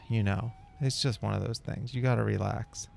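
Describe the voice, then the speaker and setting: A laid-back man narrates his gameplay in a casual, rambling way. His voice has a gravelly fry, and music plays softly behind him. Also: fairly low pitch, steady tone, a little slow. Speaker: gameplay video host. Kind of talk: casual in-character gameplay commentary.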